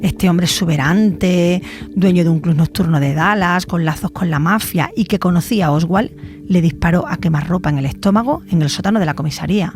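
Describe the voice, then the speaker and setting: A woman's voice: fairly low pitch, a little fast, a little mellow, faint music behind. A woman journalist reads scripted narration for a documentary podcast.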